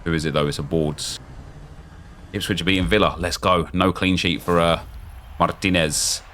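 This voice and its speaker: Man, very low voice, casual gaming chatter, hoarse. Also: normal speed, slight background noise. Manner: chatty, excitable, thinking aloud. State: losing his voice, getting unwell. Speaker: gaming YouTuber. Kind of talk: casual pack-opening commentary.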